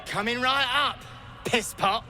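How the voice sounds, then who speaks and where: A man's voice: extremely high pitch, slow, music in the background. A man voicing a surly, foul-mouthed, downtrodden slave in a fantasy game, blunt, irritable and suspicious. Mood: sullen.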